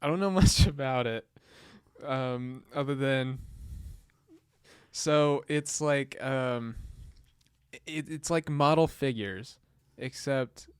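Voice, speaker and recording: A man co-hosts a casual podcast, joking playfully and talking in stop-start bursts. His voice is smooth and clear. The sound is dry, with little room. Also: medium pitch, no echo. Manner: playful, joking, halting with fillers. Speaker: podcast co-host. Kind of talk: casual chat.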